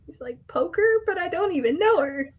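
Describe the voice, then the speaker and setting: A woman's voice: very high voice, animated, normal speed, narrow, tinny audio. A young woman co-hosting a livestream, chatting casually in short, giggly, joking reactions. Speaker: livestream co-host. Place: dim room at home.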